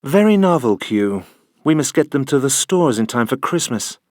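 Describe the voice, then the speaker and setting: Casting: male actor as suave secret agent, medium-pitched voice, cool deadpan wit, video game, dry close sound. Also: moderate pace, no room sound, lightly acted. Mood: cool, wry.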